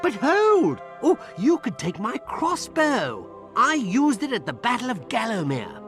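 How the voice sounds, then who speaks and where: An actor playing a gushing, deferential soldier hero in a video game, earnest and nostalgic. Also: male voice, very high voice, huge pitch swings, unhurried, music in the background, full character voice. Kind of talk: video game character acting.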